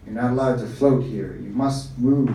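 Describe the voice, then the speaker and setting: A man reading a story aloud voices a calm, impassive woman attendant giving flat, insistent orders. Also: medium pitch, normal speed, slight background noise, natural voice. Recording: echoey room from far off.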